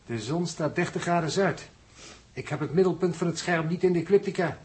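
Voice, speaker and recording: A man's voice: a little high, brisk, darkish tone, lightly acted. An actor playing a factual, good-humoured male astronomer on a spaceship crew in a 1960s Dutch radio drama. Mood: informative. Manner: precise, explanatory.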